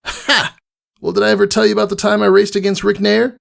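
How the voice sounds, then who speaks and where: A man's voice: high-pitched, flat delivery, fast talker, vocal fry, a bit bright, pitched above the performer's own voice, heavily acted. A man voicing a brash, cocky female pegasus pony mentor in a storybook reading, with a casual, boastful way of talking. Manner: boastful, casual, hesitant small talk.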